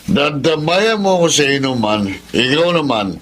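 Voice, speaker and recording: An actor with a Filipino accent playing a tipsy, chummy, generous drinking buddy in a radio drama. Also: male voice, a little high, very animated, normal speed, fairly bright tone. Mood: tipsy, jovial. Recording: clear background noise.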